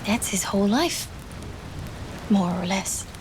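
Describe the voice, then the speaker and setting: A woman playing a young, grieving wartime nurse in a game drama, soft and wistful, with a Newfoundland accent. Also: medium pitch, slow pace, noisy background, lightly acted. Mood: sorrowful. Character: grieving wartime nurse, sister of a fallen soldier. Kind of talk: dramatic game cutscene storytelling.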